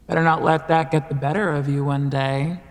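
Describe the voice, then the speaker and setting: A cryptic she-monster with a low, gravelly voice. She speaks in short, measured riddles and stays coolly unhelpful. Long reverb surrounds her, with faint noise underneath, as in a horror audio drama. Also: fairly even intonation.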